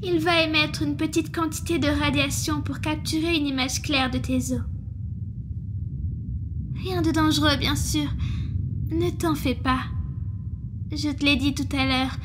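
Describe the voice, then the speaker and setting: Woman acting high-voiced, gentle water monster girl nurse. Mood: tender, reassuring. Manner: soothing, reassuring, attentive. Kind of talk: ASMR roleplay. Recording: clearly noisy background with ambience.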